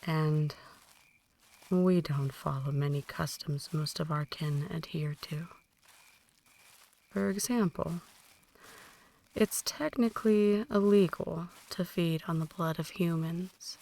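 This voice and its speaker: Woman playing a slow, whispery, menacing vampire mistress. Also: deep voice, steady tone. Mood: calm, lecturing. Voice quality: soft, breathy, largely whispered. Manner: deliberate, condescending, softly menacing. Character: possessive, ancient female vampire mentoring a newborn, cold and menacing. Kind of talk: ASMR roleplay, slow intimate dramatic monologue.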